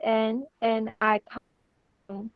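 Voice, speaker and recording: A woman's voice: a little high, level tone, slow, slight background noise. A woman from Taiwan introducing herself in English, giggling and flustered. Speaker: meeting participant. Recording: tinny dry phone-like line.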